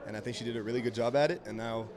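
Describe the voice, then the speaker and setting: A man's voice: medium pitch, fairly even intonation, very fast. A young man from Quebec whose first language is French, speaking in a casual, earnest and somewhat hesitant way as a guest in a ballpark broadcast booth. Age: young adult. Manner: earnest, hesitant, restarts sentences. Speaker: guest player. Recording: loud crowd behind.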